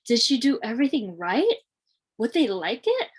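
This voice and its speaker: A woman reading a children's storybook aloud in a deliberate, expressive way, with clearly separated phrases. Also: fairly high pitch. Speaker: children's read-aloud reader.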